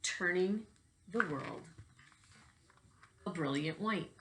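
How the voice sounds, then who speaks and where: A woman's voice: on the low side, expressive, slow, pushed and bright, slight background noise. A woman reading a storybook aloud in the manner of a teacher addressing children.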